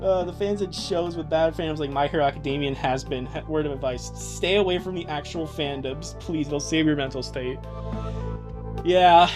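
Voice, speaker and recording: A man streaming casually, giggly and joking, often breaking into laughter and mild swearing while he reads and comments. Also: on the high side. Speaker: streamer reading a fan comic aloud. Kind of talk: casual live stream chat and joking live reading.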